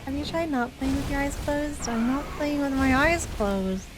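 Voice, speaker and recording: A woman with a fairly high voice commentates on her gameplay live. She talks casually to viewers in short exclamations and playful mock complaints. Music plays clearly behind her. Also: moderate pace. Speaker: game streamer. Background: game music.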